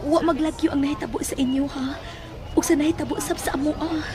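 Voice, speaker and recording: A woman's voice: sits high, fairly even intonation, fast, a crowd in the background, natural voice. A woman playing a frightened young woman in a horror audio drama, speaking with a Cebuano accent. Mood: concerned.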